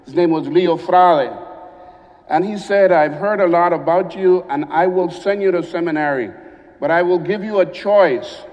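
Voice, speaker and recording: A man from Honduras, a visiting bishop giving an unhurried church address with frequent pauses. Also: sits high, a little mellow, in a large hall, muffled recording.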